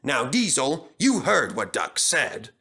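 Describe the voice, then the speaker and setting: A man acts a fair-minded railway controller in a storybook. He is formal and measured, giving orders at an unhurried pace and middle pitch. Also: huge pitch swings, sharp tone, slightly rough, heavily acted. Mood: firm, regretful.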